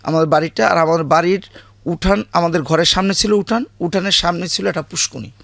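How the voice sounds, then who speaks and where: A man with a rural Bangladeshi accent, a guest recounting childhood memories in a halting way, often correcting himself. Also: on the high side, vocal fry, a bit bright, no room sound.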